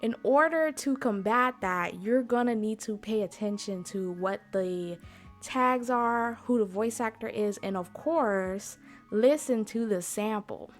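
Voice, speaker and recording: Woman presenting informally over soft music. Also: medium pitch, unhurried. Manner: chatty, conversational, direct address to listeners. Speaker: convention panel host. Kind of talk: informal presentation, explainer talk.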